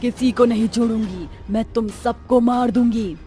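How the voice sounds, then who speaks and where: A vengeful tree witch, acted by a woman, high-pitched and menacing. She hurls threats in loud, angry shouts, in animated storybook style, with clear music underneath. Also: normal speed, close to an everyday voice. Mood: furious, menacing. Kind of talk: Hindi animated moral story.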